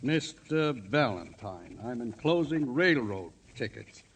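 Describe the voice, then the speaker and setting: An actor playing a pompous, domineering, decisive banker and civic leader in a 1950s radio drama. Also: male voice, medium pitch, expressive, slow pace, slight background noise. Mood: commanding.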